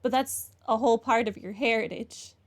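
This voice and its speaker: A woman's voice: on the high side, fairly even intonation, moderate pace. A woman giving casual playthrough commentary, explaining her opinions conversationally as she reacts. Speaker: game streamer. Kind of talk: casual playthrough commentary and reading dialogue choices.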